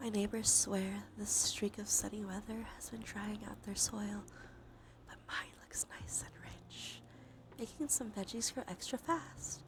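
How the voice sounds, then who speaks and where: Congested woman whispering softly, creaky voice. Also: medium pitch, steady tone, a little slow. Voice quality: breathy, often dropping into whispers. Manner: gentle, soothing, chatty. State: stuffy nose, congested. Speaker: livestreamer hosting an ASMR stream. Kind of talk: cozy ASMR, casual chat while gaming. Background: soft ambient soundscape.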